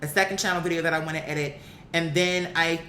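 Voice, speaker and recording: A woman with an American accent and a slightly low voice talks straight to her audience in opinionated commentary. She is emphatic and slangy, pressing points with rhetorical questions. The room echoes around her, with a faint rumble of noise underneath. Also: steady tone, quick pace, sharp tone. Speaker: vlogger, commentary host. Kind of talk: opinionated casual commentary to viewers.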